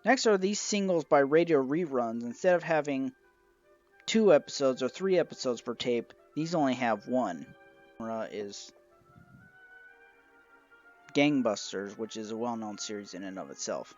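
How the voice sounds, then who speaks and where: A man presenting a collection review in a measured, semi-scripted narration, moving through it item by item. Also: mid-range voice, animated. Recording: dull, lo-fi audio with music behind.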